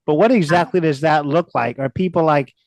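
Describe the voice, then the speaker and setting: A man's voice: a little high, brisk, dry recording. A man hosting a podcast, attentive and asking follow-up questions in a casual interview. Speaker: podcast host.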